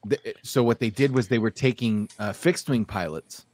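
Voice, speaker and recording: A middle-aged American man hosting a casual podcast, swearing freely and often halting mid-sentence. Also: mid-range voice, darkish tone, dry recording. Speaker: podcast host. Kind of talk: casual chat. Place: small room at home, on a video call.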